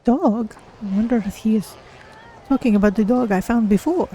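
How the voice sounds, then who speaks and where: A streamer voicing a polite, curious cat-folk adventurer in a measured, formal manner while roleplaying a game. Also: medium pitch, mellow, slight background noise, close to an everyday voice, low-quality recording. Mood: curious, musing.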